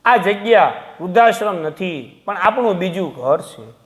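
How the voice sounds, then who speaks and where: A man as a dignified elderly teacher, high-pitched. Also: lively intonation, measured pace, big-room sound. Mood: earnest encouraging. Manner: earnest, encouraging. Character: dignified, consoling elderly retired male teacher.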